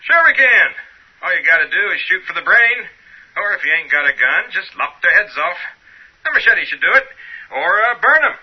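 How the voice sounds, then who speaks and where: An actor playing a blunt, unflappable rural sheriff interviewed on the radio in a radio play, in casual folksy speech. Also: male voice, high-pitched, big pitch swings, moderate pace, slightly rough, exaggerated voice. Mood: folksy, unconcerned. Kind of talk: radio play acting, interviewed on a news bulletin. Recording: muffled radio-broadcast sound.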